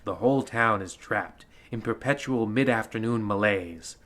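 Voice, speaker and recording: An actor playing a theatrical, pompous host of an old-time horror radio show. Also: male voice, fairly low pitch, moderate pace, fairly bright tone, lightly acted. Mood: theatrically ominous. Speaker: radio play host narrator. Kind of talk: mock vintage horror anthology narration.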